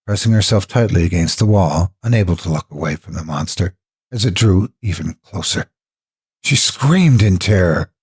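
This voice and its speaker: A man narrating scripted horror with deliberate, theatrical phrasing, as a storyteller and host. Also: lowish voice, a little mellow, husky. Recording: music behind the voice. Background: background music.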